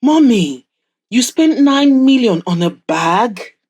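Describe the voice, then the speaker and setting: A woman's voice: a little high, sing-song, takes it slowly, a little mellow, slightly rough, voice pushed up, heavily acted. Cocky, incredulous rich young man, voiced by a woman. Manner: questioning, indignant.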